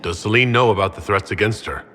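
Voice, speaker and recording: Deep-voiced, sober heroic leader. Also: male voice, fast, sharp tone. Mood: questioning. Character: sober, questioning heroic male leader of an army. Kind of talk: dramatic video game acting. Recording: faint background ambience noise.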